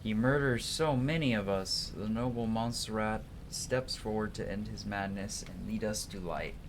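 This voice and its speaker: A man with a fairly low voice commentates a video game for a livestream. He moves between offhand casual remarks and dramatic read-aloud narration. There is noticeable noise behind him. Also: moderate pace. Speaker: livestream gamer. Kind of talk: gaming commentary mixed with reading game text aloud.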